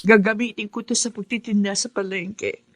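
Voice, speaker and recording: A woman's voice: mid-range voice, steady tone, moderate pace, no echo, natural voice. A woman in a Filipino radio drama playing an earnest, emotive, self-sacrificing mother who struggles to raise her children. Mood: sorrowful, resolute. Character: struggling, self-sacrificing widowed mother of five, market vendor.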